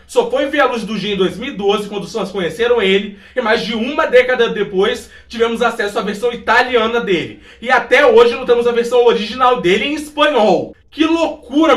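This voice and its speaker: A man's voice: high voice, a bit bright. A Brazilian man presenting a video essay in casual scripted narration, chatty and exclamatory, talking straight to viewers. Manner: informal, exclamatory, talks directly to viewers. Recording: roomy echo, distant from the mic.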